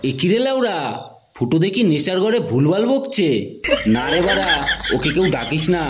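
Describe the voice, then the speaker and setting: A man's voice: sits high, big pitch swings, normal speed, loud music behind the voice. A man voicing a crude young club friend in a Bengali comedy cartoon, exclaiming and bantering. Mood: teasing, casual.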